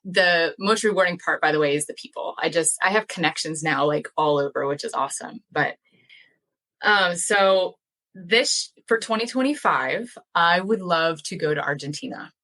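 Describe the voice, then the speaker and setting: A young woman chats with enthusiasm as a podcast guest. Her voice sits on the low side but has a bright, forceful edge. She rambles freely, with plenty of fillers. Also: lively intonation, normal speed. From United States. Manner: chatty and enthusiastic, many fillers. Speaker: guest, language educator and dairy farmer. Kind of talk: casual podcast interview.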